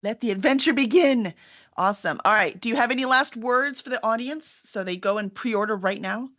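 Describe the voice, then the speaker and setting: A woman's voice: a little high, a little fast, fairly bright tone. A woman hosting a live online author interview as a publisher, effusive and encouraging as she chats.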